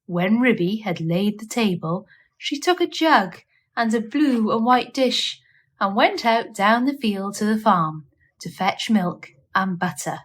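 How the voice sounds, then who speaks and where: A woman narrating a children's storybook aloud in a measured, expressive read-aloud style. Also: medium pitch. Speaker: storyteller reading a children's book.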